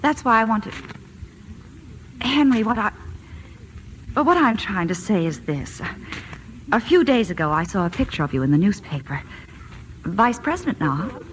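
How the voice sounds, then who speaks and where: Actress as an earnest, worried wife, lively pitch. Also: female voice, normal speed, long pauses, close to an everyday voice. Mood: earnest, uneasy. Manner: hesitant, earnest. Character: worried, earnest married woman warning an old friend. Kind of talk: old-time radio drama acting. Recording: noisy old radio recording.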